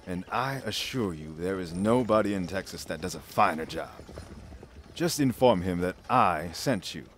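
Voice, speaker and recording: A domineering judge and father, acted by a man in an audio play. His voice sits very low, and he speaks in a pompous, commanding, formal way. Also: animated, moderate pace, a little hiss or hum. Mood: paternal, insistent. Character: stern, domineering Chicago judge and demanding father.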